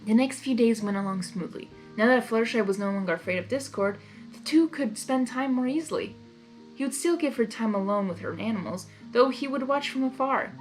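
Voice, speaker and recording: A woman's voice: on the high side, brisk, faint music behind. A woman reading a story aloud expressively, with informal, reactive asides. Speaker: story reader. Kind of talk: expressive fan fiction read-aloud with chatty asides.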